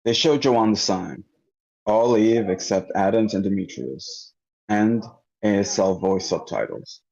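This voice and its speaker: A man's voice: fairly low pitch, measured pace, gravelly, a little mellow. A man reading stage directions as scripted narration at a table read over an online call. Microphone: online meeting call. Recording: dull, muffled online call.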